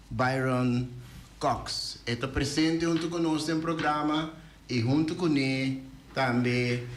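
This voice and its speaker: A man hosting a radio talk show, speaking slowly and hesitantly and switching between languages as he opens and interviews a guest. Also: mid-range voice. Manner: slow, hesitant, mixes languages. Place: radio studio.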